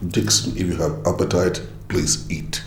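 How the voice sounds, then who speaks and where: A man's voice: deep voice, fairly even intonation, normal speed, roomy sound, slight background noise, lightly acted. An actor playing a stern, devout middle-aged father who lectures his family.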